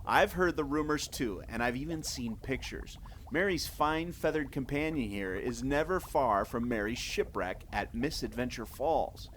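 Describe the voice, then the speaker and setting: A man's voice: medium pitch, animated, a little slow, a bit bright, a little hiss or hum, poor audio. A man playing an eager, clever and confident adventurer in an audio drama. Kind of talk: scripted audio adventure acting.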